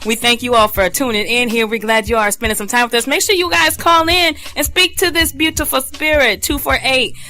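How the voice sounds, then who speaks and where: Woman radio host, bright voice, upbeat, encouraging, casual interview chat. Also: fairly high pitch, fairly even intonation, brisk, hardly any pauses, soft background music. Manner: upbeat, encouraging, lots of backchannel.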